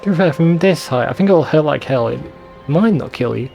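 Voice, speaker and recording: A man's voice: a little high, lively intonation, a little fast, creaky, highs cut off, faint music behind. A man giving casual, sweary commentary over a video game in an informal, bemused way. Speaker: let's play video host.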